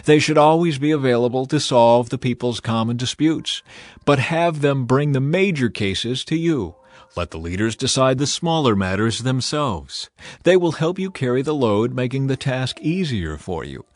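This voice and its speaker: A man's voice: mid-range voice, lively intonation, normal speed, dry recording, close to an everyday voice. Man voicing older priest and father-in-law, earnest, emphatic counsel, scripture drama, musical backing. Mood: firm counsel.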